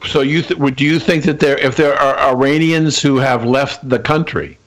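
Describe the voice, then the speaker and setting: A man's voice: medium pitch, steady tone, normal speed, rough recording. A man hosting an interview, asking questions hesitantly and restarting them. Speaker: interview host.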